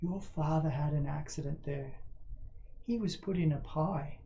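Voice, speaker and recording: A woman's voice: low-pitched, unhurried, dark tone, highs cut off, a little hiss or hum. A woman voicing an old mother rabbit in a children's storybook, fondly but firmly instructing her young ones. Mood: gently warning. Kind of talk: children's storybook character reading.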